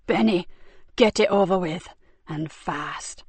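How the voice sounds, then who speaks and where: A woman voicing a cold, menacing male crime boss, speaking slowly and with clipped threat. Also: on the low side, a little mellow, breathy edge, no echo, exaggerated voice.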